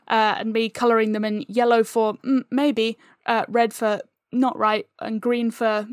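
A woman's voice: a little high, level tone, unhurried, dry recording, studio quality. A woman guest in a casual chat, explaining things in a jokey, giggly way. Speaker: interview guest, audio fiction creator.

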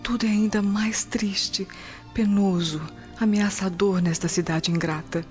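An actress playing a sorrowful, lonely noble Roman wife in a radio drama monologue, plaintive and tender. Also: female voice, mid-range voice, fairly even intonation, measured pace, a little mellow, natural voice. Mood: anguished, longing. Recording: dull lo-fi radio, clear music bed. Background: music.

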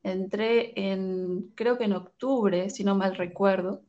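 A woman's voice: medium pitch, fairly even intonation, takes it slowly, warm tone, lo-fi sound. A woman in her twenties with a Venezuelan accent, casually telling her own story as a podcast guest in an informal, giggly manner. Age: 20s.

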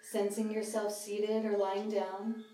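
Mellow woman guiding meditation, roomy sound. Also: medium pitch. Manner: calm, measured instruction in short phrases. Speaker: meditation guide. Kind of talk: guided mindfulness meditation. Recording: slightly distant roomy sound, music behind.

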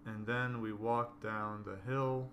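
A man with a raspy, hoarse voice chatting casually and dryly. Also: lowish voice, level tone, slow, background noise. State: hoarse from coughing. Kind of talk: casual chat.

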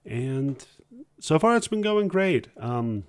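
A man hosting a podcast, talking informally and haltingly in a casual solo style. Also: medium pitch, sing-song, no room sound, clean studio sound. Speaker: podcast host.

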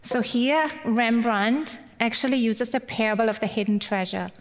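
A woman's voice: a little high, moderate pace. A middle-aged woman from Germany with a German accent, giving a personal, lightly humorous church sermon as a guest speaker. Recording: long hall-like reverb.

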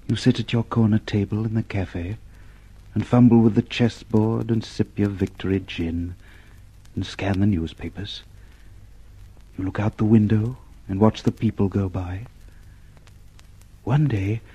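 A male actor playing a grave, brooding narrator, giving measured dramatic narration in an old radio play. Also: lowish voice, mellow, slightly rough, low-quality recording. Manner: slow, measured, sombre.

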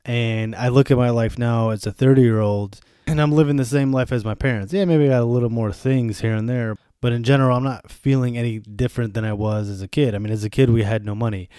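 A man's voice: medium pitch, steady tone, fairly quick, hardly any pauses, warm tone, smooth, no room sound, studio quality. A man of thirty hosting a solo podcast, venting candidly in a sardonic, freely swearing way. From born in Germany to Bosnian refugees, raised in the US. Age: 30s. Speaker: podcast host.

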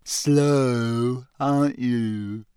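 A morose, sly ghost of a schoolgirl, as a male audiobook reader puts her on. She speaks slowly and mournfully in a somewhat raised, smooth, clear voice. The sound is dry and close. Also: fairly high pitch, a little mellow, dry recording. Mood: moping. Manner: morose, moping, slow. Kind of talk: audiobook character voice.

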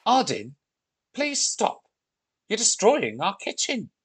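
A man's voice: medium pitch, big pitch swings, a little slow, slightly rough, no room sound. A man voicing an outspoken, bossy young girl in a children's story, speaking emphatically. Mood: pleading, firm. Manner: scolding, emphatic.

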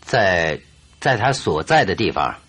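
A man playing a shrewd, composed detective in a radio drama, speaking in a measured, cryptic and firm way. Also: sits low, very animated, fairly bright tone, husky, full character voice. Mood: cryptic, grave.

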